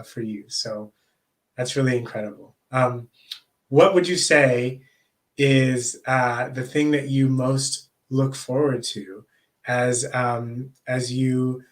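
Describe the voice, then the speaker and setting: A man who stutters, interviewing a guest in a slow, supportive way with many fillers and repeated words. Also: medium pitch. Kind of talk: casual interview.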